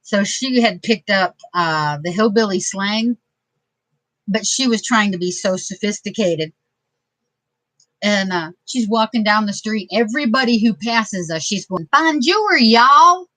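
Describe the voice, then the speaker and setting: A woman's voice: medium pitch, a little slow, fairly bright tone, no echo, low-quality recording. A middle-aged woman from Detroit, telling funny family stories in a chatty, laughing way during a craft video. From Detroit, USA. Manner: chatty, laughing, self-mocking humor. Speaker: craft vlogger. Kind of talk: casual storytelling while crafting.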